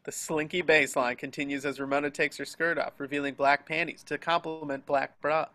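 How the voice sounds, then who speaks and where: A man's voice: fairly high pitch, level tone, a little fast, pushed and bright. A man narrating the action descriptions of a screenplay in an amateur table reading. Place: online group video call.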